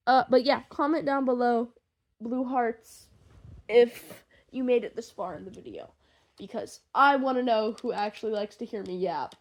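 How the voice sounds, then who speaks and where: A young adult woman chatting casually to listeners in a rambling, gushing, excitable and slangy way, as a fan fiction creator. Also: a little high, normal speed.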